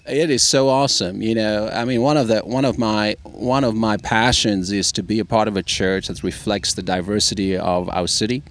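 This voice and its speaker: A middle-aged pastor with a South African accent tinged with Canadian, talking thoughtfully in a casual podcast interview outdoors. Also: male voice, lowish voice. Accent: South African with a touch of Canadian. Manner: thoughtful, measured, with fillers. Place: outdoors in nature.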